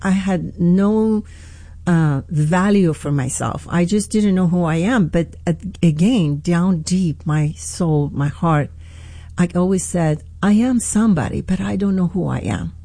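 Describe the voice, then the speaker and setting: Earnest Iranian-accented woman, halting. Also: fairly low pitch, mellow, no echo. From Iran. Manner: halting, earnest, many restarts. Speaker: pastor, guest. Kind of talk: radio interview.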